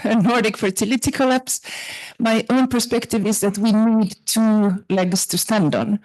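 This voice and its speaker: A woman's voice: mid-range voice, a little mellow, poor audio. A woman from Finland, making an earnest, fluent comment from the audience at a symposium. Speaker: audience member asking a question. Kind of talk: spontaneous comment from the floor.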